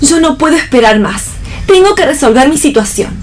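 A woman's voice: sits high, animated, a little fast, faint music behind. A woman acting an anguished, determined young daughter in a radio play, with solemn theatrical delivery. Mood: resolute, pained.

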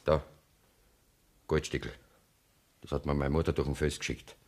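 Very deep, gruff Bavarian outlaw. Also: male voice, flat delivery, normal speed. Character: hunted, defiant male outlaw on the run. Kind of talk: 1970s German radio play acting. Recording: noisy radio play recording.

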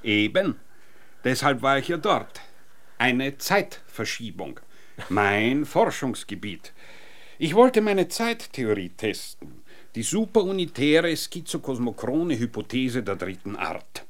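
An actor playing an eccentric, pompous scientist in an absurd radio drama, lecturing theatrically. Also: male voice, medium pitch, big pitch swings, takes it slowly. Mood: pompous, matter-of-fact. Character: eccentric, naked time-theorist scientist back from apparent death.

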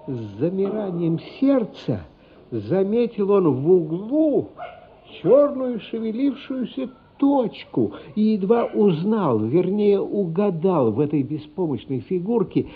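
A man with a slightly high voice narrates a radio drama. He reads slowly, with measured suspense and dramatic weight. The recording is somewhat dull, with faint music behind him. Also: slight background noise. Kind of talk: dramatic scripted narration of a literary radio play. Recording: dull, band-limited radio play recording.

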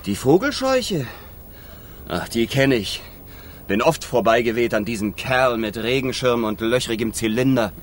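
A man's voice: medium pitch, expressive, unhurried, lightly acted. An actor playing a boastful, carefree, scatterbrained male wind spirit in a children's radio play. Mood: offhand. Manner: blustery, singsong bragging, muttering when puzzled.

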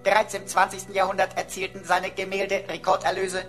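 A woman's voice: mid-range voice, monotone, fairly quick, music in the background, lightly acted. A woman playing a flippant talking pocket computer in a radio drama, showing off as a mock-pompous know-it-all.

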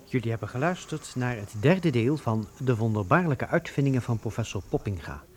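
A male announcer delivers a scripted sign-off for a radio play. His voice is of middling pitch, with clear noise on the recording. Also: lively intonation, fairly quick. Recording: noisy old radio recording.

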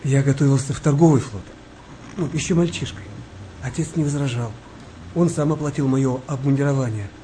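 An actor playing a kind, weary wartime sailor nearing fifty in a radio play, speaking gently and reflectively with a wry touch. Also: male voice, medium pitch, fairly quick, a little hiss or hum, close to an everyday voice, poor audio. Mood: regretful. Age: middle-aged. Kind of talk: naturalistic radio play dialogue.

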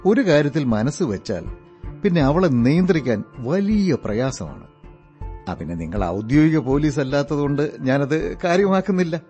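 A man's voice: fairly high pitch, big pitch swings, normal speed, warm tone. An actor playing an evasive, self-important stepfather in a radio drama, formal and defensive, outwardly polite but easily rattled. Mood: politely defensive. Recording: dull lo-fi radio recording, music behind.

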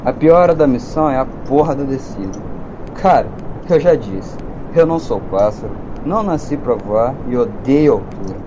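A man's voice: mid-range voice, lively intonation, moderate pace, lo-fi sound, natural voice. A man with a Brazilian accent playing a cynical, nervous, foul-mouthed soldier who narrates an audio drama in the first person. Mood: sardonic dread. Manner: sardonic, colloquial, swearing. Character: cynical, nervous, foul-mouthed male soldier piloting giant war machine. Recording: clearly noisy, engine and outdoor ambience.

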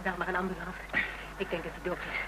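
An actor as a high-pitched, wry survivor. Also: male voice, flat delivery, quick pace, lightly acted. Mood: shivering, subdued. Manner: colloquial, wry, downplaying danger. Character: shaken but wry survivor of a killer. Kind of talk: Dutch radio crime drama acting. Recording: noisy radio drama recording.

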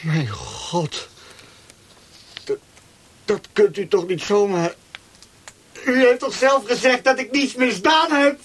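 A man's voice: high voice, big pitch swings, slow, pauses often, a bit bright, slight background noise, exaggerated voice. An actor playing a frightened young male prisoner in a radio drama, stammering and pleading to be released. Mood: horrified. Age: young adult.

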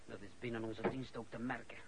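An actor with a Flemish accent playing an earnest, formal middle-aged civil servant in a radio drama. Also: male voice, medium pitch, flat delivery, fairly quick, lightly acted. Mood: earnest, critical. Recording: hissy, noisy radio play recording.

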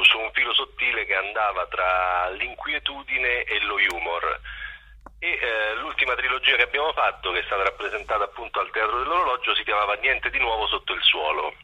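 A man's voice: on the low side, bright, forceful tone, husky. A man answering interview questions over a telephone line, hesitant and often repeating words mid-sentence. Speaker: interview guest, theatre performer.

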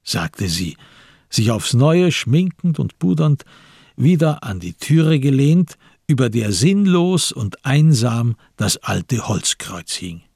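A man narrating a literary radio drama in a measured, grave storytelling manner. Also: medium pitch, sing-song, vocal fry, darkish tone, slightly rough, no room sound, clean studio sound.

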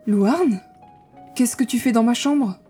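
A woman's voice: a little high, slow, breathy edge. A woman acting a blunt, slangy, sardonic heroine in a French-language audio drama. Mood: teasing, brisk. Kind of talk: audio drama acting and first-person narration. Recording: loud music bed underneath. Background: music.

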